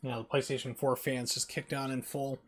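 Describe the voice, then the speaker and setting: A man's voice: medium pitch, little pitch movement, normal speed, husky. A man casually commentating on a video game as he streams it live. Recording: noisy stream with game sound. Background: video game ambience.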